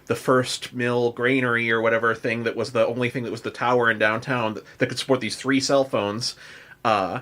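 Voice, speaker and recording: A man's voice: medium pitch, little pitch movement, fairly quick, a bit bright. An American man hosting a podcast, telling stories in a casual, rambling way full of filler "likes". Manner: rambling, frequent "like". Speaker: podcast host. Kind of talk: casual chat and storytelling.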